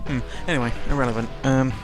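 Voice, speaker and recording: A man's voice: medium pitch, fairly even intonation, quick pace. A British man giving casual, unscripted video game commentary, rambling along in a sarcastic, mildly sweary way. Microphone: close microphone. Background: video game music.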